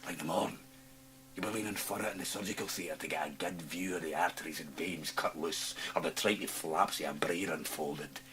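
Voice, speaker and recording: A man's voice: deep voice, steady tone, a little fast, a bit bright. An actor playing a sly, menacing, gleeful Scottish man in a radio drama, taunting and insinuating, breaking into hissing whispers. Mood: sly, mocking. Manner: taunting, insinuating, swinging from cajoling to snarling.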